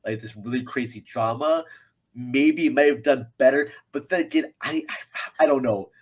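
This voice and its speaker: A man speaking as a guest reviewer in a casual film discussion, halting and repeating himself for emphasis. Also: medium pitch, slightly rough.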